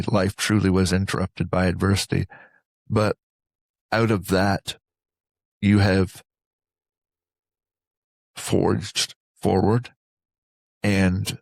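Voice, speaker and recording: A man hosting a reflective interview, speaking earnestly and deliberately in short phrases with pauses between them. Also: low voice, creaky, no room sound. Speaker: interview host.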